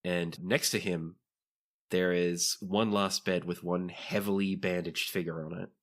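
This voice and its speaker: A man's voice: low voice, steady tone, a little slow, fairly bright tone. A man narrating a tabletop role-playing game as game master, describing scenes to the players.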